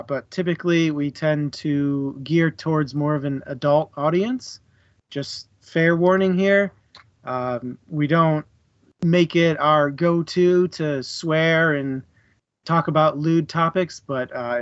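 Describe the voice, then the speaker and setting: A man with a slightly high voice hosts a casual book club stream. He speaks informally and jokily, with some hesitation. The sound is dry and a little muffled. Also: no room sound. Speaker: co-host of a book club livestream. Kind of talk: casual chat to a live audience.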